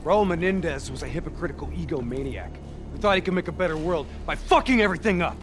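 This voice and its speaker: An actor playing a cynical, intimidating soldier in a video game cutscene, blunt, profane and sardonic. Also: male voice, a little high, lively intonation, fast talker, music in the background, close to an everyday voice. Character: gruff, cynical, intimidating male soldier and interrogator.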